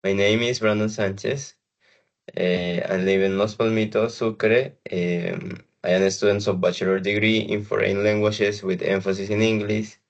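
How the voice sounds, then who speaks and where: A man with a Colombian Spanish accent hosting a student podcast in hesitant, halting phrases. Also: on the low side, little pitch movement, gravelly. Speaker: podcast host and language student.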